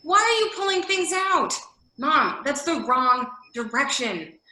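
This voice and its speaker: A woman playing a brisk, exasperated adult daughter in a staged reading, speaking in a hurried, bossy, emphatic way. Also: high-pitched, very animated, sharp tone, roomy sound, full character voice. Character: brisk, exasperated adult daughter managing her mother's move. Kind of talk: staged reading of a comic drama sketch.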